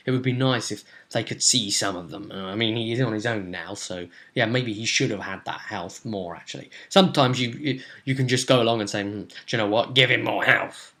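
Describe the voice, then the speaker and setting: A young man with a British accent gives casual, rambling game master commentary, halting as he thinks aloud. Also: medium pitch, a bit bright. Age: young adult. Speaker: game master, host of a role-play vidcast. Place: indoors, small room at home.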